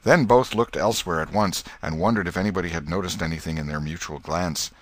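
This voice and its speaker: A man narrating an audiobook in an expressive, literary storytelling style with a dramatic delivery. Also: deep voice, quick pace.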